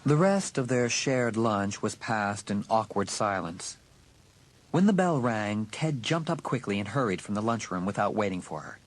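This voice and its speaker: A man with a husky voice narrating a teen thriller audiobook in a dramatic, scripted style. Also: on the low side, animated, normal speed, rough recording.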